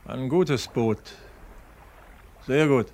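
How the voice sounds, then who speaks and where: An actor playing a reserved, secretive Dutch passenger in a radio crime drama, polite and terse. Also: male voice, mid-range voice, extremely slow, a little mellow. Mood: polite, calm. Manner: terse, guarded. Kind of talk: 1970s German radio crime drama dialogue. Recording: radio play with clear boat ambience noise. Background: boat and water sounds.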